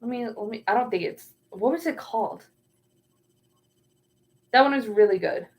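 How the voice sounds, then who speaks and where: A woman's voice: a little high, normal speed, darkish tone. A young woman streaming and casually answering chat, often trailing off while searching for words.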